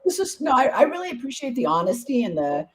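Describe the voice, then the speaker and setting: A woman hosting a podcast interview, her voice hoarse and partly gone, talking in a bubbly, enthusiastic, chatty way. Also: high-pitched, sing-song, fast, crowd noise. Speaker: podcast host.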